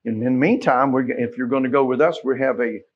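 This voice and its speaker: A man's voice: medium pitch, fast talker, husky. A middle-aged American man, a pastor speaking informally from the pulpit in a folksy, conversational way, putting questions to his listeners. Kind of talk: informal church announcements from the pulpit. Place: small church sanctuary, at pulpit. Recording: dull, muffled church sound recording.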